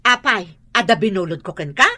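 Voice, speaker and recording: A woman playing a hot-tempered, defiant mother in a radio drama, with a sharp, demanding way of talking. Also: medium pitch, animated, a little fast. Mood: angry, confrontational. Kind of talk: Ilocano radio drama acting.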